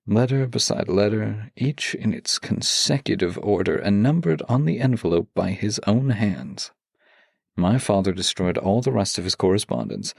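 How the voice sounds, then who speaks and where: A man with a low, gravelly voice speaks as a podcast co-host, recorded very dry and close. His delivery is measured and soft-spoken, with an intimate storytelling feel. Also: no room sound. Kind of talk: intimate podcast talk with a read-aloud letter.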